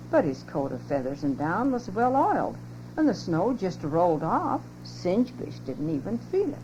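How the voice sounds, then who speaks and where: An older woman expressively reading a children's story aloud as a narrator. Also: lowish voice. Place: home tape recording. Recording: lo-fi muffled home cassette, loud hum.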